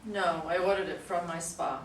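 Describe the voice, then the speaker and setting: A woman's voice: on the low side, normal speed, lightly acted. A woman playing a chatty, devoted secretary who gushes about her boss, eager to please, in a staged play reading. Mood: helpful. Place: room at a live readers' theater reading. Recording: echoey room, distant mic, faint noise.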